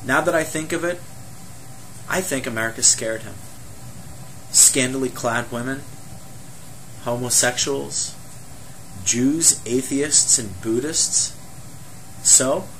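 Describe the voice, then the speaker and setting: A young man from Egypt giving a personal testimony in an earnest, measured way, with many rhetorical questions. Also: medium pitch, fairly bright tone, a little hiss or hum, low-quality recording.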